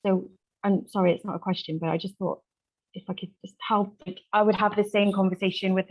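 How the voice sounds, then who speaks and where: A woman speaking off the cuff as a support worker in a group discussion, earnest and halting as she pieces her sentences together. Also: mid-range voice, steady tone, muffled recording. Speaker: support worker, survivor engagement officer. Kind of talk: unscripted contribution to a group discussion.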